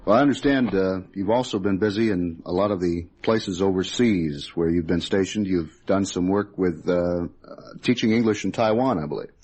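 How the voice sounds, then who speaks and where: Male radio interviewer, American, deep register, polite and formal, hesitant delivery. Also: a little mellow, breathy edge. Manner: hesitant, halting questions.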